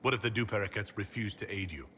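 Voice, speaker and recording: Very deep-voiced, decisive fantasy leader. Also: male voice, fast, bright, forceful tone. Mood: blunt, serious. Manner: terse, direct. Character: commanding male fantasy leader, blunt and decisive. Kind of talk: scripted video game cutscene acting. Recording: long hall reverb, background ambience noise.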